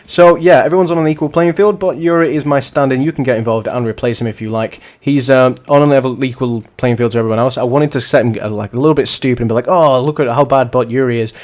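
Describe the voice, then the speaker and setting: A young man, hoarse and sniffly from the flu, giving rambling, chatty commentary on a bot match. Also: medium pitch, fairly even intonation, quick pace, few pauses, a little mellow. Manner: rambling, self-correcting, chatty. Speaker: host and commentator of a bot league. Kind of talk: casual gaming commentary.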